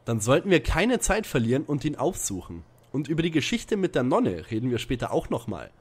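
Snide young sleuth played by a man: rough-edged voice, lively intonation, impatient, for a detective parody. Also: mid-range voice, fairly quick. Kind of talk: parody detective radio play.

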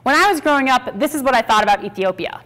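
A woman in her thirties from Minnesota, giving a lively, conversational stage talk to an audience. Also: sits high, quick pace, poor audio. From Minnesota, USA. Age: 30s. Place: small stage with brick wall backdrop.

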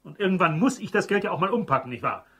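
An actor playing a fussy, impatient store manager in a German crime radio drama. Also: male voice, high voice, expressive, fast talker, bright, forceful tone, breathy edge, heavily acted. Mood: irritated.

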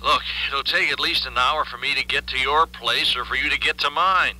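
A man's voice: medium pitch, moderate pace, pushed and bright. An American actor in a 1970s radio drama playing a grumbling businessman roused by a late phone call. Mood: groggy, grumbling.

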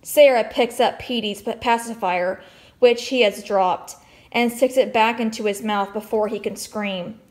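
A woman's voice: on the high side, steady tone, measured pace. A woman reading a storybook aloud expressively as a teacher, with explanatory asides and questions for children.

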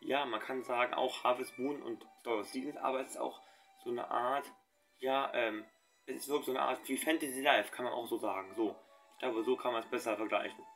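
Young male let's play host, mid-pitched, rambling with lots of fillers, faint game music underneath. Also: fairly even intonation, brisk, fairly bright tone. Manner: rambling, many fillers and restarts. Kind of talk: casual let's play commentary.

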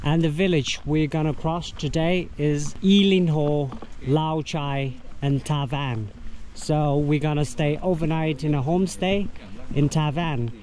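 A man with a Vietnamese accent, a tour guide vlogging casually while trekking outdoors, speaking halting English and searching for words, recorded on an action camera. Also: a little high, fairly even intonation, darkish tone, a little hiss or hum. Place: outdoors on a mountain trail. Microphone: action camera carried along.

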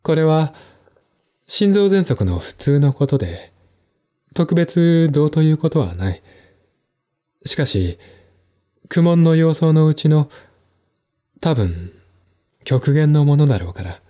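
A man playing a composed, articulate man in a one-man audio drama, explaining things in a measured, reflective way. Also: on the high side, very animated, dark tone. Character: composed, articulate man recounting his stepmother's death.

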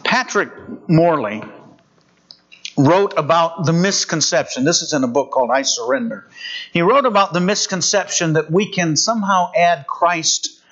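Male preacher, fairly high voice, earnest and measured, dull lo-fi church recording. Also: lively intonation, a bit bright. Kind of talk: sermon.

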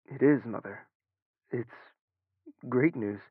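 Guy playing a young prince, husky, hesitant, anxious, thin phone-like dry sound. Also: medium pitch, slow, no echo. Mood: guarded, subdued. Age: young adult. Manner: hesitant, guarded. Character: reserved, anxious young prince, dutiful son. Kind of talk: audio drama acting.

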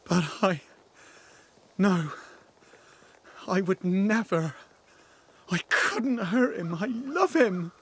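A man playing a guilt-ridden, devout settler in a video game drama, with a breathy, halting, anguished delivery. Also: sits high, expressive, a little hiss or hum, exaggerated voice. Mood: denying, distressed. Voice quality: breathy, husky, breaking into whispers.

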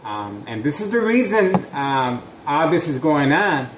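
Male vlogger, slightly high voice, halting and suspicious delivery, echoey phone audio. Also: big pitch swings, slight background noise. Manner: halting, many fillers, intense and suspicious. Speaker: vlogger filming his street. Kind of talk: slow narrated street surveillance vlog.

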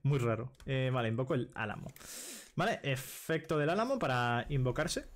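A young man from Spain streams from a small room at home and chats casually as he plays. His voice is mid-pitched, and he thinks aloud with a steady stream of quick check-ins. He is recorded close on a desk microphone. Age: young adult. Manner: chatty, thinking aloud, fillers like "vale" and "¿vale?". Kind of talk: casual live stream chat while playing a card game. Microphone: desk microphone with headset.

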